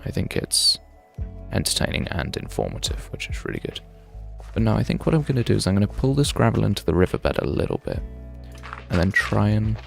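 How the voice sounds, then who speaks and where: A man's voice: sits low, gravelly. A young man in his twenties, chatting casually and thinking aloud on a game livestream, drifting between tangents. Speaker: game streamer. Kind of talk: casual livestream chat while playing.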